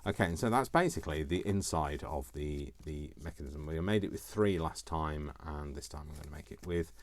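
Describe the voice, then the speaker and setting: A man's voice: extremely low pitch, a little fast. A man presenting a craft tutorial in a casual, chatty way, rambling with self-deprecating, jokey asides. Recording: noticeable background noise, small clicks.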